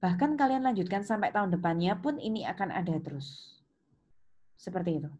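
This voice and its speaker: A woman's voice: mid-range voice, expressive, a little fast, darkish tone, dull audio. Indonesian woman lecturing over noisy keyboard clicks. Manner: didactic, checks understanding with questions and reminders. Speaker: accounting lecturer. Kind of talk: step-by-step lesson with worked examples. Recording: clear background noise, keyboard clicks.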